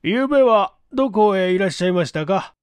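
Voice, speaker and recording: A man's voice: sits high, lively intonation, fairly quick, clear, resonant tone, no room sound, clean studio sound, pitched above the performer's own voice. A man voicing a languid police chief in an audiobook mystery, questioning witnesses in a weary, probing way.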